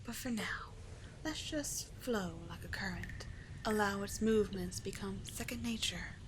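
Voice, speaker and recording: A woman voicing a doting, teasing sea witch mermaid in an ASMR roleplay, soft and breathy, often near a whisper, with soothing, formal storybook phrasing. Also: mid-range voice, unhurried, background noise, close to an everyday voice. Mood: soothing, musing.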